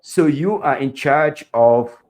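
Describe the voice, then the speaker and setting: A man from Cameroon hosts an interview podcast over a video call. His mid-range voice carries an enthusiastic, rhetorical style, and he keeps backing his guest with steady mm-hmms. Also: mid-range voice, slow. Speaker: podcast host.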